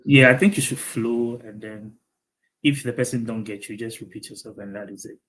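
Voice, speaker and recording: A young man with a Ghanaian accent, a doctoral student being interviewed over a video call, speaking thoughtfully with many pauses and ums. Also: mid-range voice, fairly bright tone, slight background noise, rough recording.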